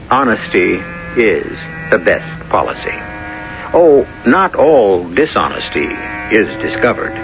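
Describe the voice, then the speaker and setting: A man playing a grave, moralizing mystery host, narrating in measured, deliberate old-time radio style on a muffled 1970s broadcast with music behind. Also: on the low side.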